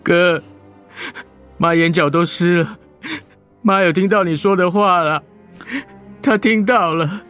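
A man acting in a radio drama as a devoted younger brother, gentle and reassuring. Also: high-pitched, fairly even intonation, slow, long pauses, soft background music. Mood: tender reassurance.

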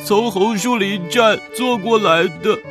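A woman's voice: medium pitch, measured pace, natural voice. A woman playing an upset schoolboy in a children's radio play, with a Taiwanese Mandarin accent. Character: big, upset schoolboy who loves basketball. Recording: music behind the voice.

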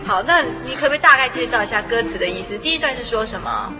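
A woman's voice: a little high, lively intonation, fast. A woman hosting a conversational radio interview, prompting her guest with questions and echoing the answers. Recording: music behind the voice. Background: music.

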